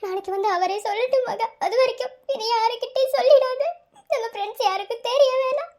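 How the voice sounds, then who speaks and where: Woman acting very high-voiced, tearful young woman. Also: fast, a little mellow. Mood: ashamed pleading. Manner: tearful, pleading. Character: distraught young pregnant woman, ashamed and pleading.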